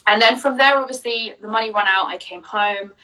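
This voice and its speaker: Bright-voiced woman from Jersey, chatty. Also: a little high, brisk, distant mic, poor audio. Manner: open, chatty storytelling with fillers. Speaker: business coach, guest. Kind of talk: casual interview answers.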